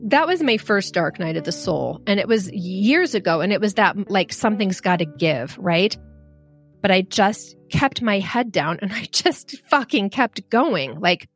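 A middle-aged woman telling a personal story in a frank, animated and self-deprecating way. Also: medium pitch, measured pace, soft background music. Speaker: storyteller guest.

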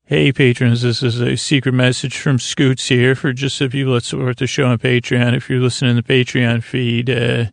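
A man with a creaky voice hosting a bedtime podcast in a languid, meandering, soothing ramble, often stumbling over his words. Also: mid-range voice, flat delivery, normal speed, hardly any pauses, no room sound, clean studio sound. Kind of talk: rambling, soothing sleep-aid monologue.

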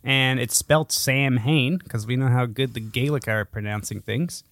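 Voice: medium pitch, normal speed, a bit bright, dry recording. A co-host with a mid-range voice chats casually on a podcast. The delivery is teasing and sarcastic, with plenty of swearing, in a very dry recording. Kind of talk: casual, profane podcast banter.

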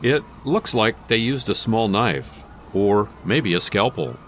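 A man's voice: on the low side, very animated, slow pace, fairly bright tone, a little hiss or hum, exaggerated voice. A man playing a matter-of-fact, clinical coroner in an audio drama.

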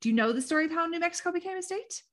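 A woman's voice: very high-pitched, expressive, rapid-fire. A woman hosting a podcast, telling a history story in an enthusiastic, gushing, conversational way, with lots of affirming murmurs.

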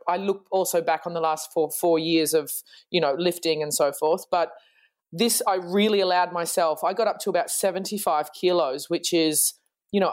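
A woman guest in a casual interview, speaking earnestly and reflectively, with some restarts. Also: on the low side. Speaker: guest, fitness trainer.

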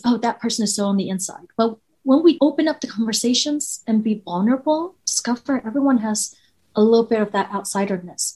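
Kobieta z tajwańskim akcentem, refleksyjna. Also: female voice, mid-range voice, slightly rough, rough recording. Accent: Taiwanese. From Taiwan. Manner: earnest, warm, builds points with rhetorical questions. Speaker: guest, professor. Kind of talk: reflective interview answers.

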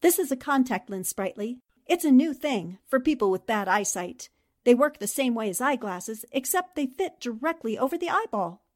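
A woman playing a shrewd, composed newspaper columnist and amateur sleuth in a radio mystery drama, speaking with deliberate, storytelling delivery. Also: fairly high pitch, lively intonation, a little mellow. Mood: accusing.

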